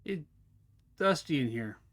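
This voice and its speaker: A man's voice: on the high side, expressive, unhurried, fairly bright tone. A man streaming a puzzle game live, chatting casually and thinking aloud as he looks around. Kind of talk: casual live gameplay commentary.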